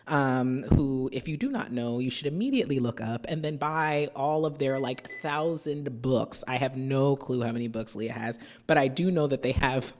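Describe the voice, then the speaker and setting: An American woman from New Jersey giving a poetry reading, chatting through her introductions with many fillers and reading the verse slowly and with care. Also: low-pitched, darkish tone. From New Jersey, USA. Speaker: poet. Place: university symposium venue.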